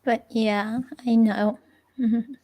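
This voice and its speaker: Uneasy young ex-girlfriend at a police interview, played by a woman: halting, flat in pitch, fry-edged. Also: poor audio. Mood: reflective, unsure. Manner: halting, hesitant, filler-laden delivery. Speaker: reader playing an interviewee. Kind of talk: dramatic reading of police interview transcript.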